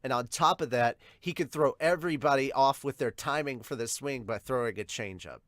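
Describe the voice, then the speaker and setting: A man's voice: mid-range voice, a little fast, fairly bright tone, no echo. Rambling, blunt male podcast host, close dry sound. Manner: rambling, blunt, casually sweary. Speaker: co-host of an anime and manga podcast. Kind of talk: casual fan commentary and outro to viewers.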